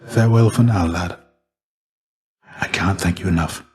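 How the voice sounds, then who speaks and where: An actor voicing a stoic, weary dead Greek warrior and fatherly mentor in a video game, speaking in a measured, formal, restrained and gently grave way. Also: low voice, lightly acted. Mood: stunned, grateful. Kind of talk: video game character dialogue.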